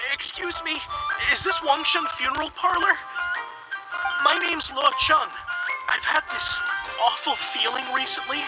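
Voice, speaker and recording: Woman acting high, nervous, melodramatic youngster. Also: close to an everyday voice, rough recording. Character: frightened, melodramatic young person fearing a curse. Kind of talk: video game voice acting.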